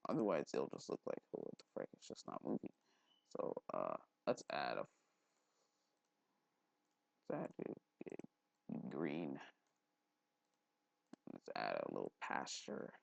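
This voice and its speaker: A man hosting a casual software tutorial, thinking aloud informally with mild exclamations. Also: very deep voice, wildly swooping pitch, slow, creaky, pauses often, no room sound. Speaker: tutorial host.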